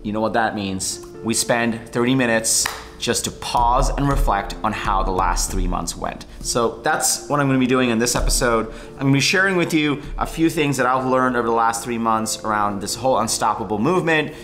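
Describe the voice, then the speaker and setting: A man's voice: medium pitch, soft background music. Upbeat man vlogging to camera. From Bangladesh, raised in New York. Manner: upbeat, enthusiastic, quick lively delivery. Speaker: vlogger, weekly channel host. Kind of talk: casual vlog update to camera. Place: home studio living room indoors.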